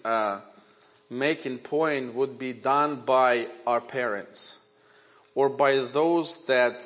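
A man in his forties with a Ukrainian accent, a native Ukrainian speaker, preaching a sermon woven with his own testimony in an earnest, measured way. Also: mid-range voice, a bit bright. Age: 40s. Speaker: guest preacher.

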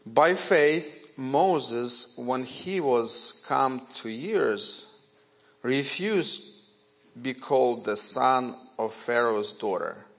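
A man in his forties speaks English with a Ukrainian accent, his first language being Ukrainian. He preaches unhurriedly at a mid-range pitch, telling his story earnestly and with care. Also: lively intonation, very slow, a bit bright. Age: 40s. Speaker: guest preacher. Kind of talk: sermon with personal testimony.

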